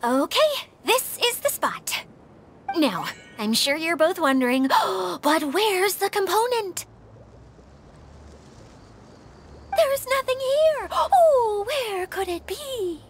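A theatrical young guide, high and very animated. She is expressive and easily flustered, in video game style, with faint ambience behind. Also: slow, background noise, exaggerated voice. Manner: expressive, dramatic, hesitant when flustered.

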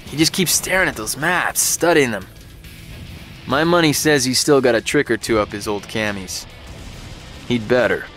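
An actor playing a weary but cocky American infantry soldier, narrating in wry, slangy style for a game cutscene. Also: male voice, mid-range voice, moderate pace, long pauses, a bit bright, soft background music, lightly acted. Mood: grim, wry.

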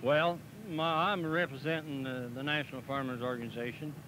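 A middle-aged man talks plainly, halting often with "uh" and tag questions like "see?". His voice sits at a mid pitch. He is recorded outdoors on a handheld mic, with steady noise on old 16mm film sound. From Missouri, US. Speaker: packing plant representative for a farmers group. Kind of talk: filmed outdoor interview. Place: outdoors by a cattle pen. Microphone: handheld mic held by interviewer.

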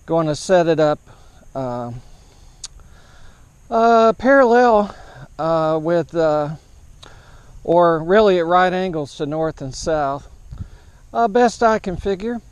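A man narrating an outdoor setup vlog as an amateur radio operator, in a halting, matter-of-fact way. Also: a little high, expressive, clean tone, no echo, muffled recording. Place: large open grassy park field, outdoors.

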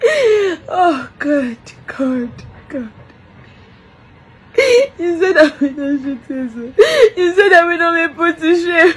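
A woman's voice: very high voice, lively intonation, slow. A distraught woman, crying and wailing through an emotional lament, repeating phrases over and over.

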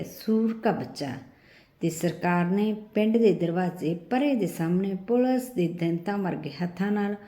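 A woman's voice: mid-range voice, mellow. A woman reading a literary short story as a scripted narration. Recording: roomy echo on the voice.

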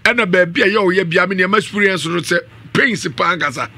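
A man's voice: sits high, fast, vocal fry, sharp tone. A middle-aged Ghanaian man hosting a live radio show, speaking close to a studio microphone. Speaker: radio host. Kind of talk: live radio talk show hosting with ad-libbed sponsor reads. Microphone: studio condenser mic on boom arm, up close.

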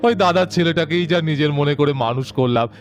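Gentle, lonely old village man, acted out by a man. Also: fairly high pitch, brisk, faint music behind, lightly acted. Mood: gentle, nostalgic. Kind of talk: puppet cartoon story dialogue.

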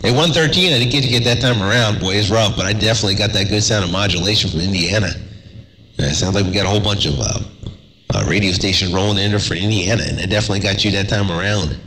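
A man chatting on CB radio from a desert station, laid-back and drawling, with a slightly raspy voice from a cold. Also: on the low side, fast talker, big-room sound, noisy background. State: has a cold. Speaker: CB radio operator.

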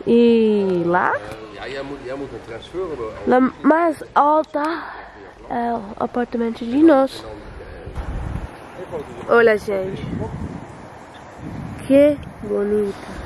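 Young woman, Dutch native, casual vlog, slow, halting, word-searching, slightly high voice. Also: expressive, crowd noise, rough recording. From Netherlands. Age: young adult. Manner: halting, searching for words, slips into English. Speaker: vlogger. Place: outdoors and indoors, apartment and streets.

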